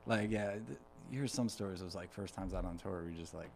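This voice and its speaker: A radio show host asking relaxed, slangy questions in a casual chat, often laughing along. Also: sits low, fast talker, vocal fry, a little hiss or hum.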